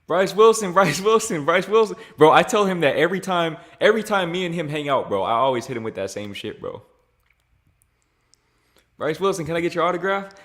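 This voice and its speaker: A young man from Louisiana, raised in Texas, answering viewer questions in a relaxed vlog and tossing in "bro" and "man" as he talks. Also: a little high, fairly quick. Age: young adult. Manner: laid-back, slangy, repeats phrases with "bro" and "man". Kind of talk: casual Q&A vlog.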